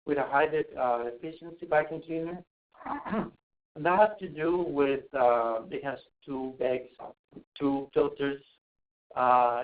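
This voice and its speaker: A man from Costa Rica giving a webinar talk on home visits, hesitant, with many fillers and restarts. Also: a little high. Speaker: asthma program home visitor. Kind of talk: webinar presentation. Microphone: webinar call mic. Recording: tinny phone-like webinar audio.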